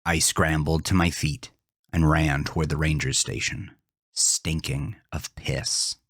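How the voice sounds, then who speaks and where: A man narrating a first-person horror story with intense, deliberate, theatrical delivery and a husky voice. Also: extremely low pitch, steady tone, fairly bright tone, no room sound, studio quality.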